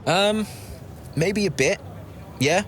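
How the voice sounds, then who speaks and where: An actor playing a guarded, self-critical young racing driver, hesitant and measured, with faint vehicle noise behind. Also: male voice, high voice. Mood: guarded, reflective. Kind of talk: naturalistic game acting of a media interview.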